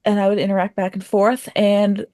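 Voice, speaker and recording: A woman's voice: mid-range voice, flat delivery, moderate pace, mellow, no room sound, studio quality. A woman chatting casually and informally as a guest answering questions on a podcast. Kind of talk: casual chat.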